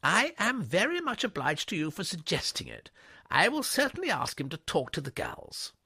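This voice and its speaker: A man voicing a bright, firm middle-aged headmistress who speaks decisively. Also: high voice, huge pitch swings, moderate pace, breathy edge, no echo, studio quality, pitched above the performer's own voice, exaggerated voice.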